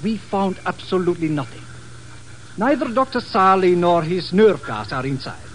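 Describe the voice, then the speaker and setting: Courteous, anxious colonel, 1950s radio style, animated delivery, slightly noisy vintage recording. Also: male voice, sits high, moderate pace. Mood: grave, urgent. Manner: formal, polite, precise wording. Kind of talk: 1950s radio drama acting.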